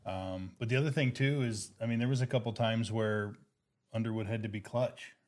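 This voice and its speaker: A man's voice: fairly low pitch, steady tone, fairly quick, darkish tone. An American man co-hosting a podcast in a casual, agreeable conversational style. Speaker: podcast co-host and financial advisor. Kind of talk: casual chat.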